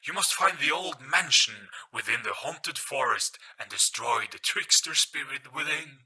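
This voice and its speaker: Guy doing a sad forgetful gramophone ghost, really sharp n forceful, husky, pitched up theatrical audio story voice. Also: animated, a little slow, full character voice, rough recording. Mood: commanding, spooky. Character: melancholy, forgetful male ghost living in a gramophone.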